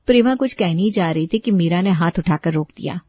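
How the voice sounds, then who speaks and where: A woman's voice: fairly low pitch, fairly quick, no echo, lo-fi sound. A woman storyteller reading scripted narration for a family moral story.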